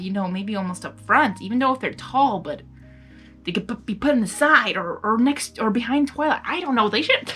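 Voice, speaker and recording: A woman reading a story aloud with excitable, giggly, rambling casual commentary. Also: on the high side. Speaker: host of fan fiction reading videos.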